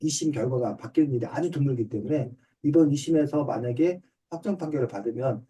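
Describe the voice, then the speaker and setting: Very dark-voiced man explaining, distant mic. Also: medium pitch, brisk. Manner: polite, explains step by step in simple terms. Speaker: author and reading teacher answering a student's questions. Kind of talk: explanatory talk in an online book discussion class. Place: online video class. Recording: distant mic on online video call.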